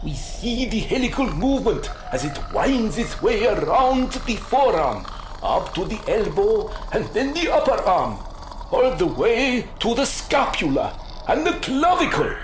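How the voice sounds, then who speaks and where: A proud, excitable medical scientist in a radio play, presenting her experimental cure. She speaks slowly and formally, with sweeping, animated intonation, in a roomy, echoing space. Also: mid-range voice, soft background music. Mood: showman-like. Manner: formal, showy, precise. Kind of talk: radio drama acting.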